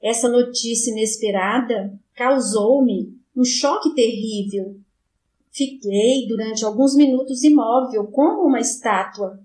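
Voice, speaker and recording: A woman narrating an audiobook in the first person, in a measured, solemn reading. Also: fairly high pitch, a little mellow. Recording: roomy echo.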